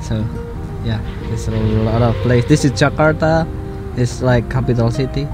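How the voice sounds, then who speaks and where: A young Indonesian man whose first language is Javanese, hosting a travel vlog and chatting in halting English with many restarts and fillers inside a camper van. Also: mid-range voice, music in the background. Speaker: travel vlogger host. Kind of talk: casual chat in halting English.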